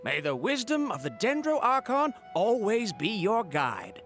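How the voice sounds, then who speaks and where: A woman's voice: mid-range voice, sing-song, measured pace, loud music behind the voice. A woman playing a courteous, official city gatekeeper in a video game, speaking in a formal, polite, explanatory way.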